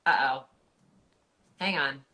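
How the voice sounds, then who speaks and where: A woman chatting on a livestream, loud and friendly, greeting viewers by name. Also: extremely low pitch, slow. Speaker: guest coach. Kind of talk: casual livestream chat.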